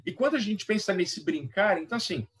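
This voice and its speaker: A Brazilian man talking casually as an interview guest and author, in a halting manner that repeats words. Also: high voice, lively intonation, a bit bright. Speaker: guest author and play educator. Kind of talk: casual explanatory interview talk. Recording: voice somewhat far from mic.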